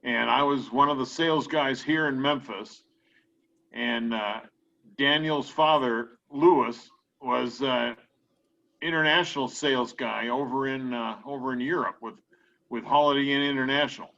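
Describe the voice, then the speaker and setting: A man hosting an online meeting who introduces the speaker slowly, with many fillers. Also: mid-range voice, creaky, bright, forceful tone, lo-fi sound. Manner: slow, hesitant, with many fillers. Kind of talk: hosting a video call.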